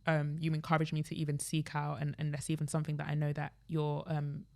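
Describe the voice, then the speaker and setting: A young woman with a London accent hosts a casual podcast chat. Her voice sits low for a woman and carries noticeable creak. She talks informally and with emphasis. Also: monotone, quick pace, darkish tone. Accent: British, London. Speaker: podcast host.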